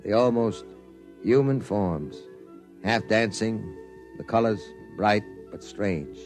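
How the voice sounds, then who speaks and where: A man's voice: fairly low pitch, takes it slowly, darkish tone, soft background music. An actor in a 1950s radio drama playing a world-weary male newspaper reporter who narrates the story. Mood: narrating.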